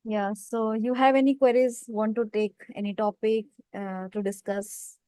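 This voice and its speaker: A woman's voice: on the high side, flat delivery, unhurried, dark tone, no room sound. A woman, a teacher hosting a casual talk, speaking reflectively with many "you know" fillers. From India. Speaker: host, English teacher. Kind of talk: casual conversation practice.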